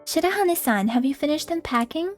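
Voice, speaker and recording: Woman reading as a composed high-voiced class president. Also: lively intonation, fast, over music. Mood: brisk. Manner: matter-of-fact.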